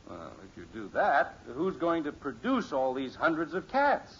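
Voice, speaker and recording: A man's voice: on the high side, huge pitch swings, normal speed, fairly bright tone. An actor playing a patient, reasonable middle-aged American father in a radio sitcom, with a folksy, emphatic way of reasoning. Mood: patient, exasperated. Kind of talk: 1950s radio sitcom acting. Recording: muffled, noisy old radio recording.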